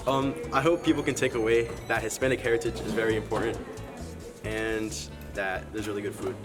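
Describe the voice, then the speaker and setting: A man's voice: lowish voice, fairly even intonation, fairly quick, over music. A teenage boy giving short interview answers that open with a hesitant "um". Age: teens. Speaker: interviewed student.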